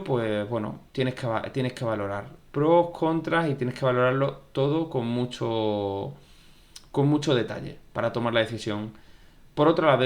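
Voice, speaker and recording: A young man gives casual, conversational advice about programming studies, often repeating phrases and acting out viewer questions. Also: mid-range voice. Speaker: YouTube tech educator. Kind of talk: casual advice talk to camera.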